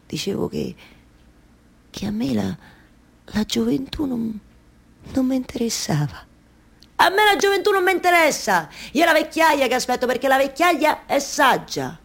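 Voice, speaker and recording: An actress playing a stubbornly hopeful waiting wife in a theatrical monologue, with a colloquial Roman accent and insistent repeated phrases. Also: female voice, sits high, vocal fry, full character voice. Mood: wistful, resigned. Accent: central Italian, Roman. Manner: incantatory, repeating phrases over and over.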